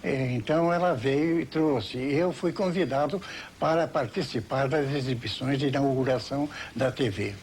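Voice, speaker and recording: A man's voice: medium pitch, moderate pace, a little mellow. An older man with a Brazilian accent, a veteran performer, recollecting the early days in an interview. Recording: old TV recording with faint noise.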